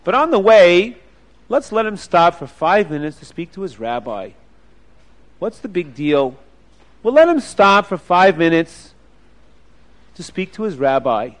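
A man's voice: high-pitched, big pitch swings, unhurried, pauses often. Stern, blunt king's officer from a children's story; man voices him. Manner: blunt, commanding.

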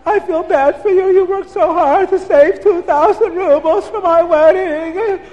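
A man voicing a grieving young bride who wails and weeps in a high voice. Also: a little slow, darkish tone, big-room sound, highs cut off. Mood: tearful. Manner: wailing, high and tearful.

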